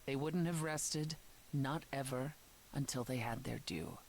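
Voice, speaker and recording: A grave goddess from a dramatic video game, with a deep female voice. She speaks in a measured, sombre, matter-of-fact manner over audible hiss. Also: low-quality recording. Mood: grave explaining. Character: Persephone, grave, world-weary goddess of the underworld.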